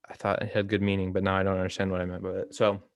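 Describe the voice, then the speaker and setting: A young man co-hosting a casual discussion, talking in a hesitant, halting way. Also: low voice, completely flat delivery, creaky, no echo. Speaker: co-host of a reading discussion.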